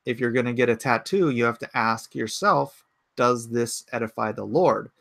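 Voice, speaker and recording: A man's voice: mid-range voice, moderate pace, creaky, a bit bright, dry recording, clean studio sound. A man in his forties hosting a casual livestream from his home computer, rambling and thinking aloud as he answers chat comments. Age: 40s. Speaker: livestream host.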